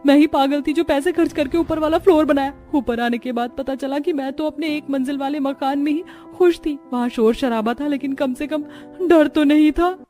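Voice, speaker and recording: A woman's voice: high-pitched, moderate pace, dark tone, faint music behind, lightly acted. A woman playing a young, dramatic, exasperated new bride in a cartoon family story. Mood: frightened.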